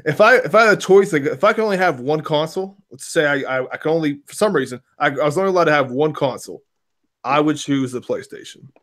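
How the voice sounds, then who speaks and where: A man with a hoarse voice chatting casually about video games, rambling and cursing with many restarts. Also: fairly high pitch, animated, fast, fairly bright tone. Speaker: podcast co-host. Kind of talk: casual gaming chat.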